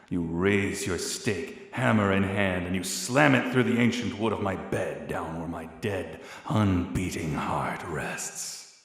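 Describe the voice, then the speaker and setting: A man voicing a proud, seductive vampire lord in a scripted audio roleplay, speaking in a theatrical, deliberate and intimate way. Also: sits low, gravelly, husky, exaggerated voice. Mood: slow, ominous. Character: seductive, menacing vampire lord, proud and secretly tender toward lost love.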